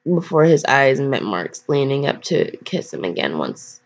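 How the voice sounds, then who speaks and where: A woman's voice: extremely low pitch, big pitch swings, measured pace. A teenage girl casually reading aloud and rambling off on goofy, self-deprecating asides. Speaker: fan fiction reader. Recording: dull lo-fi microphone.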